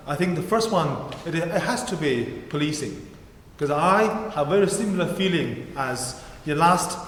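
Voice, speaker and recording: A man's voice: a little high, slight background noise. Chinese-accented man speaking in an echoey hall. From China. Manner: earnest, halting non-native phrasing. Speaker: parliamentary candidate. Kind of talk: hustings speech. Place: large church hall. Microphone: far room mic, standing speaker. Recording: roomy church hall echo.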